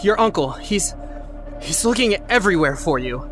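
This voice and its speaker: A man playing an anxious, well-meaning teenage boy in an audio drama, flustered and halting. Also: high-pitched, sing-song, music in the background.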